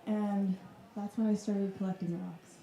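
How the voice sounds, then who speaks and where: Mellow-voiced woman artist in her thirties. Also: medium pitch, fairly even intonation, measured pace. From Wisconsin, US. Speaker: artist guest. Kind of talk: casual reflective talk. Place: club room with audience. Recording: echoey room.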